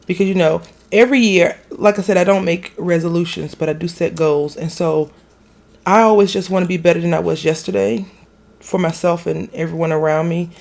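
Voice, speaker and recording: A woman hosting a craft video, chatting casually as she stamps a card. Her voice is raspy and a little hoarse from being sick, and she rambles and corrects herself as she goes. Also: on the low side, steady tone, brisk, darkish tone. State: recovering from illness, hoarse. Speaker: craft channel host.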